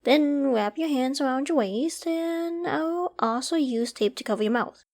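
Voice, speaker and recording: A woman's voice: high-pitched, expressive, unhurried, a little mellow. A woman playing an obsessive, lovesick young survivor in an intimate roleplay drama, sweetly controlling, affectionate and possessive. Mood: dreamy, possessive. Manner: affectionate, giddy, possessive, with breathy sighs and giggles.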